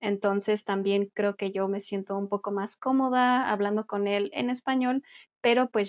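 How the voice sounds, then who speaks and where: A Mexican woman who teaches Spanish, co-hosting a casual podcast and explaining things in a chatty way with light laughs. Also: mid-range voice. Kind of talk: casual conversational podcast chat.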